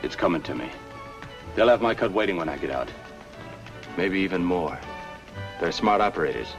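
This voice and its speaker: An actor playing a stubborn, cocky young convict in a 1950s film noir. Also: male voice, low voice, expressive, normal speed. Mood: stubbornly confident. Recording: distant old film soundtrack, music behind.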